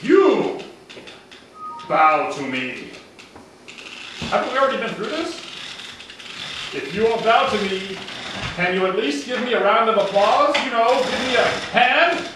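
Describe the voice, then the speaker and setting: A man's voice: high-pitched, very animated, a little slow, pauses often. A man voicing a vain, petulant villain puppet, a henpecked husband who rants and coos in an amateur show. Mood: indignant. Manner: whiny ranting, syrupy endearments. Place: small home gathering, echoey room, far camera. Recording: echoey distant noisy home video.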